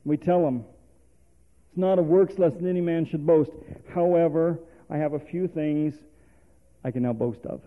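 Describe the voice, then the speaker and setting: A man's voice: fairly high pitch, unhurried. A male preacher, delivering a sermon full of rhetorical questions and calls for response. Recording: tinny phone-like sermon recording.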